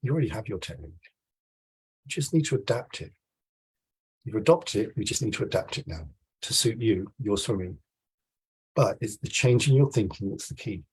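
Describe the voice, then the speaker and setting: British male swimming coach, forties, very rough husky voice blocked up with a cold, lowish pitch, conversational video-call delivery. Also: expressive, normal speed, pauses often, a little mellow, poor audio. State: congested with a cold. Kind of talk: informal online coaching talk to camera. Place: small room at home, indoors. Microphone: video call, computer mic.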